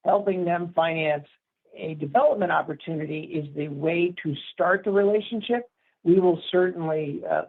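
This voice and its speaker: Creaky-voiced male executive on a phone line. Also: a little high. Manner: measured, emphatic, formal wording. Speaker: company executive answering analysts. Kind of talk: prepared-sounding conference call answers. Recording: narrowband conference phone line.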